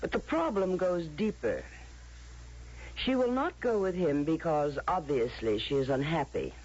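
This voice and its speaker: Cold patronizing official lady, husky, big pitch swings, old radio play. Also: animated, darkish tone, heavily acted. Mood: grave, menacing. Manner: condescending, probing, deliberate. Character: coldly patronizing female authority of a future society. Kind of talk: radio drama acting. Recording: muffled old radio broadcast.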